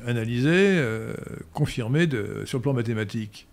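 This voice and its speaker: An older man answering listener questions in a professorial, hesitant manner with frequent pauses and restarts, recorded on a stand mic in a study. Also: medium pitch, animated. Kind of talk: lecturing Q&A answers. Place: study room indoors. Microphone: studio mic on stand.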